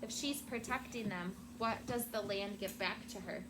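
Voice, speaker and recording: A woman teacher reading a storybook aloud to children in a classroom, with expressive, deliberate phrasing and prompting questions. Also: mid-range voice. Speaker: elementary school teacher. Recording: very noisy classroom recording.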